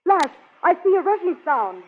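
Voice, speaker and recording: An actress playing a devoted young adventure heroine in a dramatic 1930s radio serial style. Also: female voice, very high voice, very animated, normal speed. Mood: alarmed. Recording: tinny, muffled 1930s radio recording.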